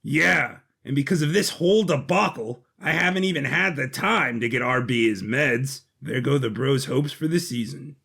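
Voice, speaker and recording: A man's voice: medium pitch, normal speed, gravelly, hardly any pauses, bright, forceful tone, slightly rough, heavily acted. A man voicing a cocky male robot schemer, a twin brother with a glib, sardonic manner. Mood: defensive.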